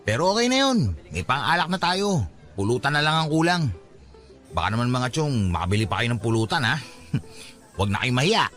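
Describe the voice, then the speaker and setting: A man's voice: mid-range voice, a little fast, soft background music. A man with a Filipino accent playing a good-natured mama's boy in his twenties, joking with coworkers in a radio drama. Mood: jovial. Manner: animated, joking. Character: Onad, good-natured 27-year-old male mama's boy.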